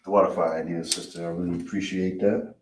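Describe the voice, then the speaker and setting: A man teaching a live-streamed online language class in a relaxed way, often checking in with "you understand?" and "all right?". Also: low-pitched, brisk. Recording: roomy, distant, faint hiss.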